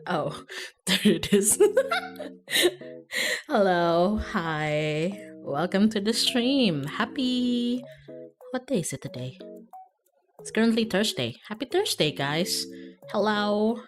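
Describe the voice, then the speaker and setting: A woman's voice: mid-range voice, lively intonation, takes it slowly, long pauses. A woman chatting casually as a livestreamer, bubbly and rambling in manner. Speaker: streamer and VTuber. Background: background music.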